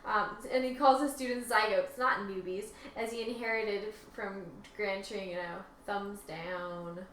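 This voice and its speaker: A woman's voice: medium pitch, fairly even intonation, measured pace, a bit bright. A woman co-hosting casually, switching between reading messages aloud and chatting. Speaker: co-host reading out listener reviews. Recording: echoey room, distant mic, faint noise.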